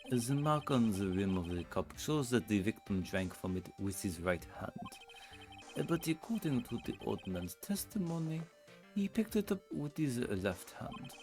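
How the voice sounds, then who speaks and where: A man's voice: medium pitch, big pitch swings, normal speed, a little mellow, soft background music. A man voicing a cocky, coffee-loving male defense lawyer who grandstands in smug aphorisms, for a fan-voiced game.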